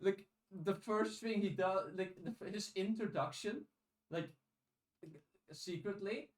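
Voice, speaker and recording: A man co-hosting a podcast in a casual discussion, speaking haltingly with restarted phrases and casual swearing. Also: high-pitched. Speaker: podcast co-host. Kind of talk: casual discussion of audiobooks and fiction. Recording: roomy echo, distant from microphone.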